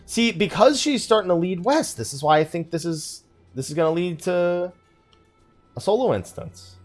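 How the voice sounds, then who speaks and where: Animated man doing casual game commentary. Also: sits high, normal speed, faint music behind. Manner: thinking aloud, muttering asides to himself. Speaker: let's play host.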